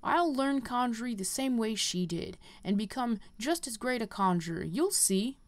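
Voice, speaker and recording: A woman's voice: a little high, expressive, moderate pace, close to an everyday voice. A woman voicing a defiant, headstrong young girl healer from game quest dialogue, stubborn and dismissive. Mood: defiant, proud.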